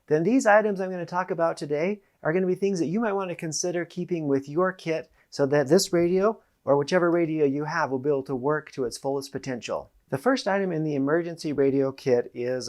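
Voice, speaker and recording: A man's voice: a little high, a little mellow. A middle-aged American man hosting a product demonstration to camera, explaining things in a plain-spoken way. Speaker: host. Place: small indoor room with panelled wall. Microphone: lapel mic.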